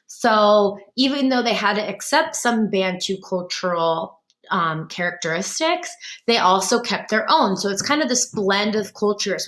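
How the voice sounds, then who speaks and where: A woman teacher reading a textbook aloud to her class, pausing to explain each passage in simple, patient words. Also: on the low side, a bit bright. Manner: reads a passage, then restates it in simple words. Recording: roomy echo.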